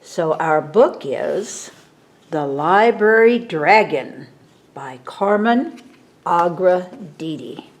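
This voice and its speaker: A woman with a slightly low voice reads a children's picture book at a very unhurried pace. She is expressive and steps out of the text to explain things to young listeners. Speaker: library story time reader. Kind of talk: read-aloud of a children's picture book with asides.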